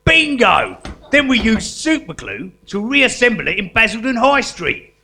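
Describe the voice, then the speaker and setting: A man's voice: sits high, big pitch swings, normal speed, sharp tone, heavily acted. A man acting in a live comedy as an earnest, pretentious, bungling criminal who fancies himself a guerrilla artist, hesitant and colloquial. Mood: eager. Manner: hesitant, colloquial, with mock-grand turns of phrase. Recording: live stage recording with clear noise.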